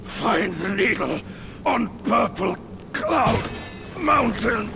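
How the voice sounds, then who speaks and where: Brief: a vengeful demon boss for a game. High, wildly swinging, gravelly, drawn-out delivery over thick ambience. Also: male voice, very animated, music in the background, exaggerated voice. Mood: defiant. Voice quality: gravelly, shouting. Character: savage male demon boss, boastful and vengeful. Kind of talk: video game boss cutscene acting.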